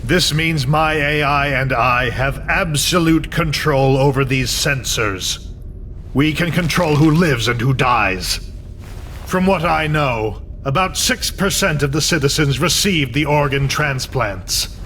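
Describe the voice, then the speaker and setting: A man's voice: a little high, slow pace, a little hiss or hum. A man playing a vengeful, coldly fanatical imprisoned scientist in a video game, speechifying in a grandiose, declamatory way. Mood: grandiose, commanding. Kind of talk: dramatic video game cutscene acting. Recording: dramatic music bed under voice. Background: loud music.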